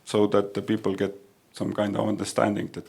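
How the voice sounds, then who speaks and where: Guy asking a seminar question, gravelly and husky, feels his way through words. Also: on the low side, completely flat delivery, fairly quick, darkish tone. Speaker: regulator, audience questioner.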